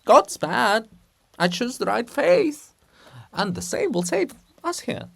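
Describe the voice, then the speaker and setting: A man voicing a blustering, bluff ruler's statue, loud and dismissive, in a dramatic play reading. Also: very high voice, big pitch swings, slow pace, creaky, voice pushed up, exaggerated voice. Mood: brash reassurance.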